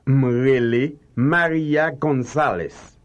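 A man reading slow, carefully enunciated translations in a language drill. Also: mid-range voice. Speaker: language teacher.

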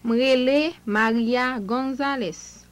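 Clear, careful woman voicing lesson dialogue. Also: high voice, steady tone, takes it slowly. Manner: careful, clear enunciation for learners. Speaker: language lesson speaker.

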